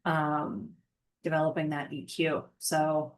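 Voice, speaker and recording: A woman in her thirties talks through her career in a relaxed interview. She is chatty and reflective, with fillers scattered through her speech. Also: deep voice, slow pace, a little hiss or hum. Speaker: podcast guest, sales engineering manager. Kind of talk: casual interview conversation.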